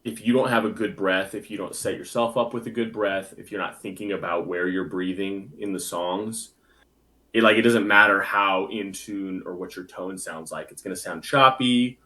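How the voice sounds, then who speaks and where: Very deep-voiced young man, easygoing. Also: fairly bright tone. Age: young adult. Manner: easygoing, heavy on filler words. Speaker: guest, bass singer. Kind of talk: casual interview chat.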